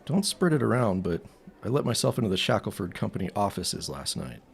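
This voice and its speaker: An actor playing an earnest teenage boy sleuth in a period audio drama, secretive and boyish, with a husky voice. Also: on the low side, big pitch swings, a little fast, heavily acted. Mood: conspiratorial.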